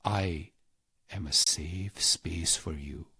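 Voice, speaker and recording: Man, very breathy husky voice, slow pacing, solemn guided meditation, close dry sound. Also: low voice, sharp tone, no room sound. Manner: slow, deliberate, pausing between short phrases. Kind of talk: scripted guided meditation narration.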